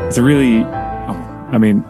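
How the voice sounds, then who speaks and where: A man's voice: medium pitch, lively intonation, slow, over music. A man hosting a radio talk show from a studio, chatting in an easygoing way. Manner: easygoing, laughs along. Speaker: radio host. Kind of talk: casual radio chat.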